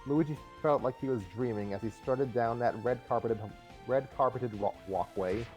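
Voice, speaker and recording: A man's voice: medium pitch, moderate pace, darkish tone, low-quality recording. A man reading a comic story aloud as narrator on a live stream, sometimes repeating phrases, with music behind him. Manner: stumbles over and re-reads phrases.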